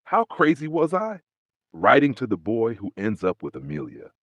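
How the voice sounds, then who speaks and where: A man reading the part of a gentle, determined, troubled young woman in an audiobook, softly and earnestly. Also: mid-range voice, huge pitch swings, normal speed, no echo, voice pushed up. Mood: bewildered. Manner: soft, earnest.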